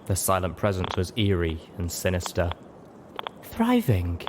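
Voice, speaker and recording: A man's voice: low-pitched, steady tone, moderate pace, background noise. A man narrating a story in a dramatic audiobook style, as its reader.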